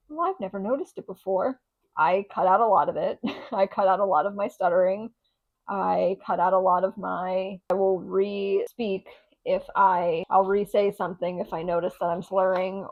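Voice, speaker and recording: A woman's voice: mid-range voice, vocal fry, breathy edge, highs cut off. A young woman vlogging casually on a chest lapel mic, chatty and rambling, with a slight lisp and stutter. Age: young adult. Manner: chatty, rambling, restarts often. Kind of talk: casual vlog chat. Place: home, indoors.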